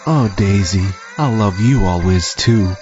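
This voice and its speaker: A man's voice: lowish voice, measured pace, close to an everyday voice. A man playing a naive, nervous young boyfriend in a comic audio drama, earnest and easily flustered. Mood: lovestruck. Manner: earnest, stammering when flustered. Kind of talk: parody of old teen-movie romance. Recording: muffled lo-fi with music behind.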